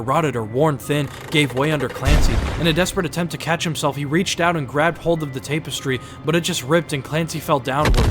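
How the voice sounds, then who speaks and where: A man narrates a scripted story in a dramatized style. His voice sits at a medium pitch. Music plays clearly underneath the narration.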